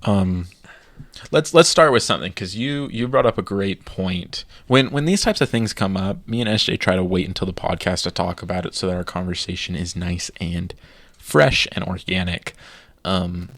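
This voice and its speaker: A man with a very low voice guiding a podcast chat at a measured pace. His tone is gravelly. The recording is dry and close. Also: fairly bright tone, dry recording. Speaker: podcast co-host.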